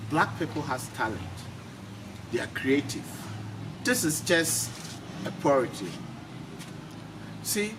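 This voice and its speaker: A man hosting a casual interview, often repeating phrases for emphasis. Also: medium pitch. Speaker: interviewer, channel host. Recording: noisy, distant mic with ambient sound.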